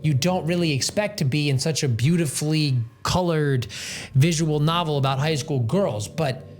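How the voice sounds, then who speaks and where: A man's voice: a little high, moderate pace. A young man giving casual, slangy commentary on a video game, rambling and playfully dramatic.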